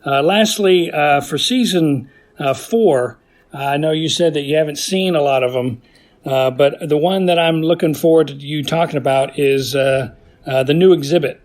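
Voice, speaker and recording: A man giving casual, enthusiastic spoken commentary on classic TV in a halting, repetitive way, as listener feedback for a podcast. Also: a little high.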